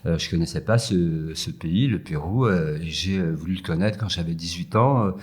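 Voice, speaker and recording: Very deep, husky man, hesitant. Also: moderate pace. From France. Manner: hesitant, full of "euh" and restarts. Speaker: guest, food entrepreneur. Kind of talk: casual interview answers. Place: empty yoga room with echo.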